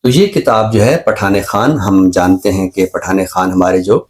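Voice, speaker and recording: A man with a Pakistani accent giving an unscripted book review as a show presenter, explaining in a measured, scholarly way. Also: low-pitched.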